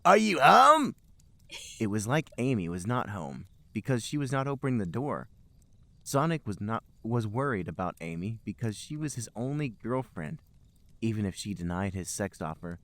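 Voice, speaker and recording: A man's voice: on the low side, animated, moderate pace. A man hosting a podcast, reading amateur fiction aloud as comic narration with an emphatic storybook delivery.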